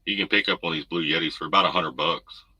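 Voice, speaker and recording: A man's voice: steady tone, fast talker, bright, forceful tone, breathy edge, dry recording, rough recording. A man, hosting a casual livestream from home and chatting through viewer comments in a laid-back, rambling way. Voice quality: deep voice. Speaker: trucker livestream host. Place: home room. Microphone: desk USB condenser mic. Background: noise.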